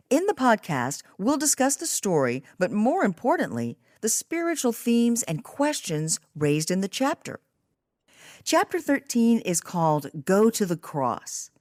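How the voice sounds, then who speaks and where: A woman's voice: medium pitch, a little mellow, no room sound, studio quality. A woman hosting a podcast, reading scripted narration and summaries. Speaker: podcast host.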